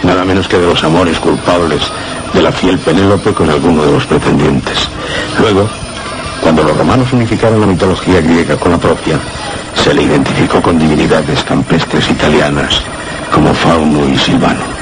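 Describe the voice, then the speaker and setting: An actor playing an erudite, grave scholar in a Spanish radio suspense drama, speaking Castilian Spanish in a measured, didactic way. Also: male voice, rumbling low, noisy background. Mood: scholarly, intrigued. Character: erudite male scholar friend, grave and explanatory.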